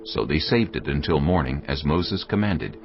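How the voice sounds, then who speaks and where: Casting note: male narrator, deep gravelly voice, measured scripture reading, dull track. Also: expressive. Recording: dull audio with faint music behind.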